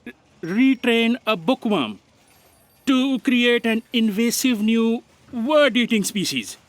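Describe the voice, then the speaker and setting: A woman's voice: fairly high pitch, slow. A woman playing a cautious, dutiful office aide, hesitant and deferential, in a comic audio drama. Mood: doubtful.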